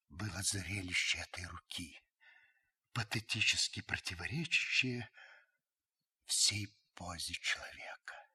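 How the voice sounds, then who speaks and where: An actor playing a grave, watchful older householder who narrates a radio drama, husky and breathy, with solemn dramatic pauses. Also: male voice, fairly low pitch, bright, forceful tone, heavily acted. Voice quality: husky, breathy, sinking to whispers. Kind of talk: dramatic literary narration, old radio play.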